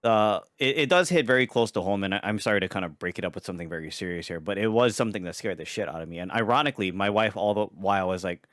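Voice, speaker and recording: Deep-voiced man from Hawaii. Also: fast, few pauses, a bit bright, no room sound, clean studio sound. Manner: earnest storytelling, swears freely. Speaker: podcast co-host. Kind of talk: casual chat.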